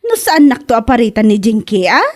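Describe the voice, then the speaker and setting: A woman's voice: fairly high pitch, very animated, brisk, a little mellow. A woman playing a nosy, teasing neighbour in a comedy audio drama, chatty and full of gossip. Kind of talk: Ilocano comedy radio drama acting.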